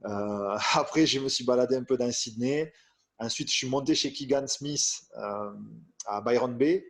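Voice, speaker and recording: A man in his thirties from France tells his story as an interview guest, his delivery halting and full of fillers. His voice sits at an ordinary middle pitch. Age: 30s. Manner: hesitant, many fillers and restarts. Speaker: strength coach, podcast guest.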